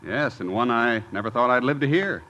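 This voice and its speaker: An actor playing a decent, hesitant college coach, plain-spoken and deferential, in a 1950s radio sitcom. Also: male voice, mid-range voice, animated, fairly quick, pushed and bright, highs cut off. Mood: apologetic. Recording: lightly noisy 1950s radio recording.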